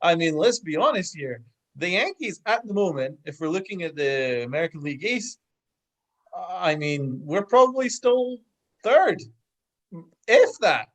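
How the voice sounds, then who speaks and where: A man's voice: high-pitched, lively intonation, unhurried, rough recording. A man co-hosting a casual sports podcast over a video call, giving blunt, emphatic opinions. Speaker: podcast co-host.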